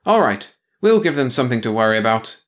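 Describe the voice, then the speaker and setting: A man voicing an imperious, coldly logical female alien beast who speaks in a brisk, dismissive, commanding way. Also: medium pitch, sing-song, lightly acted.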